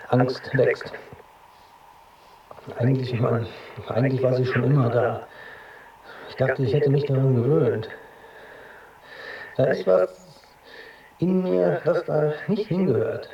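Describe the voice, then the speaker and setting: An actor playing an anxious hypochondriac who hesitantly confesses to a video diary in a radio drama. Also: male voice, mid-range voice, gravelly, slight background noise, close to an everyday voice. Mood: anxious, halting. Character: anxious, self-doubting hypochondriac technical editor. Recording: distant, echoey, muffled homemade video sound.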